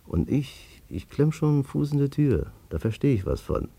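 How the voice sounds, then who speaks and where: A man's voice: medium pitch, sing-song, normal speed, gravelly, warm tone, slightly rough, heavily acted. An actor playing a scheming, self-assured ladies' man in his thirties in a radio play, chatty and knowing. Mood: eager, persuasive. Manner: chatty, knowing, persuasive asides to the listener. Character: smooth-talking, scheming 35-year-old salon employee, a self-assured ladies' man. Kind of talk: German radio play acting, first-person storytelling and dialogue.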